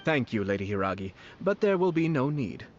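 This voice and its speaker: An actor playing a composed, formal and shrewd young nobleman in a video game, speaking in a measured, polite way. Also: mid-range voice, sing-song, lightly acted. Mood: courteous, earnest. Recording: dull lo-fi game stream, faint noise.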